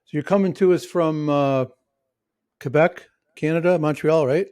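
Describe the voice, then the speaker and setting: A man's voice: fairly high pitch, normal speed, darkish tone, no echo, clean studio sound. A man with a mixed Midwestern and New York accent hosting a casual podcast interview, rambling with lots of restarts and fillers. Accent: Midwestern and New York American. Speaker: podcast host.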